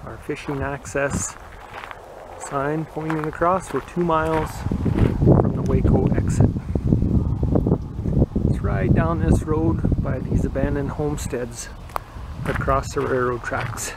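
A man giving a relaxed, matter-of-fact walking tour of a riverside campsite outdoors in winter, recorded on a handheld camera. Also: fairly high pitch, takes it slowly, long pauses. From United States. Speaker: travel vlogger. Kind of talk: casual walking tour narration. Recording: loud outdoor noise on camera mic.

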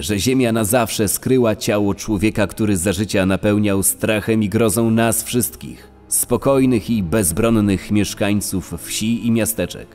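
A man's voice: lowish voice, a little mellow, lightly acted. A man playing a character in a dramatised 1930s true crime account. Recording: long reverb, music underneath.

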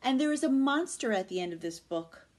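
Storybook role: frightened, lovable furry monster, male, played by a woman; high, swooping, dramatic pleas. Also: lively intonation, fairly quick. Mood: alarmed, exasperated. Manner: dramatic, pleading, exclaiming. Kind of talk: children's picture book read-aloud.

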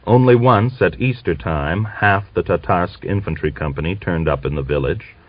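Male audiobook narrator, low register, close and dry, on a slightly dull older recording. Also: normal speed, dry recording. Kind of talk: scripted literary narration.

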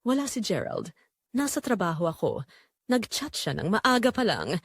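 A woman's voice: medium pitch, very animated, normal speed, slightly rough, no room sound, studio quality. A woman narrating a scripted first-person dramatic story.

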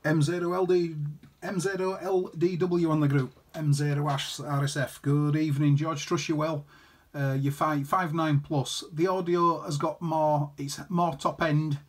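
A man with a husky voice, an amateur radio operator chatting casually in friendly, jargon-filled radio talk. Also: on the high side, little pitch movement, a little slow. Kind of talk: casual ham radio chat. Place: indoors at his radio set.

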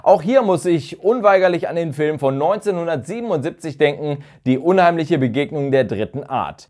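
A man in his thirties presents a review from a room at home. His voice sits a little high and moves with lively melody, as he explains and gives his opinions in a semi-scripted commentary. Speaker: video reviewer, channel host. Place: small furnished room at home, indoors. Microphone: lapel mic.

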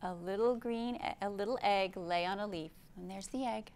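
A woman's voice: medium pitch, moderate pace, a little mellow. A middle-aged American woman reading and explaining simply and expressively to young children, as a librarian hosting storytime. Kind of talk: children's storytime read-aloud and show-and-tell. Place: indoor library room.

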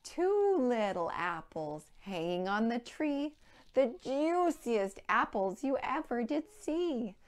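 A woman in her thirties presenting a storytime for young children, with simple, clearly phrased rhymes and counting. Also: on the high side, big pitch swings, slow. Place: indoor room with playhouse backdrop.

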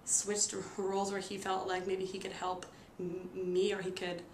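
A young woman shares a personal story in a casual, halting way, with a marked stutter that repeats sounds and stalls on words. Her pitch stays quite flat. She is recorded in an echoey room with some noise behind her. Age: 20s. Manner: halting, with frequent fillers. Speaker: nursing student and stuttering therapy client. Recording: roomy echo with noticeable background noise.

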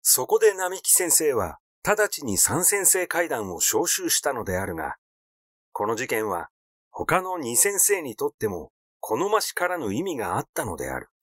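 A man narrates literary fiction in a measured storytelling manner. His mid-pitched voice moves in a lively way across its range, and the recording is dry, with hardly any room sound. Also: no echo. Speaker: audiobook reader. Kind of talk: scripted literary narration, reading a story aloud.

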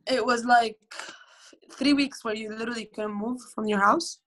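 A young woman from Egypt talking over a video call from home. Her voice is bright and forceful and a little high. She chats casually, stops and restarts often, and uses many fillers. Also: steady tone, normal speed, slight background noise. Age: 20s. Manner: chatty, with frequent fillers and restarts. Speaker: professional squash player, guest. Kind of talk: casual interview answers. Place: bright indoor room, at home. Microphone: phone or laptop camera on video call.

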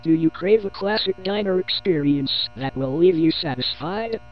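A man's voice: on the high side, animated, moderate pace, soft background music. A man reading a scripted local television commercial as its announcer. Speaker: advert announcer. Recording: dull lo-fi old TV advert.

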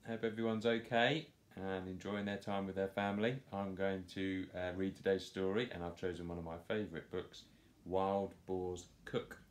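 A man in his thirties reading a picture book aloud to children in a playful, expressive storytelling style. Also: deep voice, moderate pace. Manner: animated, playful delivery. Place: small living room, on a sofa indoors. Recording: roomy home echo.

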